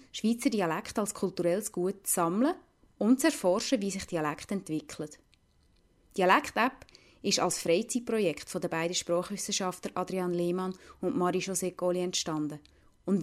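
A woman's voice: fairly low pitch, normal speed. A woman with a Lucerne Swiss German accent, narrating a scripted radio report as a reporter.

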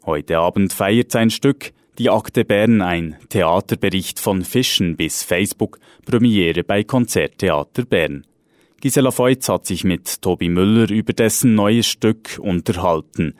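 A man reading scripted radio news in a measured, even way. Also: on the low side, dry recording, studio quality.

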